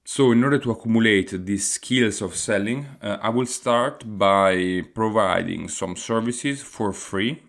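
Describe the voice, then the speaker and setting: A man's voice: lowish voice, a little slow, few pauses, a bit bright. A man from Italy with an Italian accent, hosting a solo podcast and explaining his plans earnestly, with many hesitant fillers. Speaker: podcast host.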